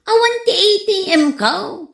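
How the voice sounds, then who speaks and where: A woman's voice: very high voice, sing-song, normal speed, vocal fry, a bit bright, full character voice. A woman playing a fretful, gossipy neighbour friend with an Ilocano accent in a radio comedy drama. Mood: alarmed. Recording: roomy, echoing sound.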